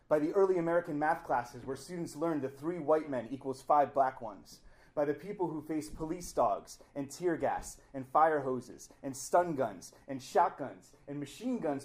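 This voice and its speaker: A man reciting slam poetry with a deliberate, emphatic, rhetorical delivery. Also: a little high, a little mellow. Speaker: slam poet.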